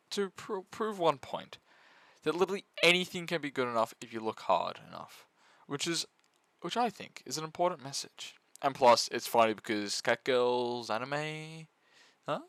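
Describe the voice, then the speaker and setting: A man's voice: mid-range voice, fairly bright tone, dry recording. A man narrating a comedic scripted review as a video essayist, mock-serious, sarcastic and sweary.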